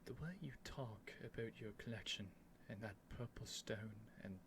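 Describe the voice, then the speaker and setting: A man playing an earnest, nervous young prince devoted to a dragon, in a halting, emotional ASMR roleplay addressed to the listener. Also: lowish voice, background noise, close to an everyday voice. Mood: tender, confessing. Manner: halting, stammering, breathy sighs and whispers, emotional.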